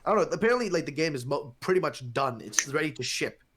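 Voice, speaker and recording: A man speaking English as a second language, chatting fast and profanely about video games as a podcast co-host. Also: a little high, expressive, noisy background. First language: not English. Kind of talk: casual gaming chat.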